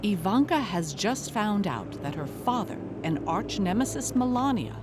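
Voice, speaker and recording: A woman's voice: medium pitch, very animated, normal speed, background noise, lightly acted. A woman playing a dogged, earnest podcast host in a scripted mock documentary, with a dramatic delivery. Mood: earnest, intrigued.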